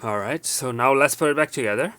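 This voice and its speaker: A man with a mid-pitched voice walks through a repair in a casual, practical tutorial style. He goes one step at a time, haltingly, restating himself as he goes. Clear background noise with clicks runs behind him. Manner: step-by-step, halting with self-corrections. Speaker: tutorial presenter. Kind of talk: casual hands-on repair demonstration.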